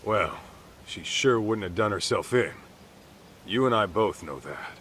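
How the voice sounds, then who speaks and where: An actor playing an easygoing, plain-spoken middle-aged private detective in an English video game dub. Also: male voice, on the low side, expressive, measured pace, fairly bright tone, a little hiss or hum. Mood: matter-of-fact.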